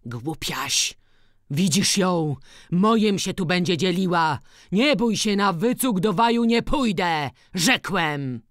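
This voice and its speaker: A woman voicing a stubborn, hot-tempered old peasant father in an audiobook, with sharp, threatening rural speech. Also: on the low side, expressive, slow, dry recording, studio quality, pitched above the performer's own voice. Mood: scornful, furious. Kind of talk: audiobook character dialogue.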